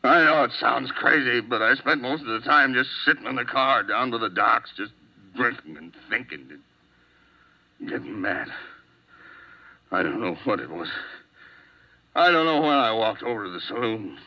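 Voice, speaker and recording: A man's voice: mid-range voice, expressive, normal speed, pauses often. An actor playing a volatile, hot-tempered man wanted for murder, swinging between threats and pleading, in a 1950s radio drama. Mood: desperate. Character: hot-tempered desperate male murder suspect. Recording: dull lo-fi old radio broadcast.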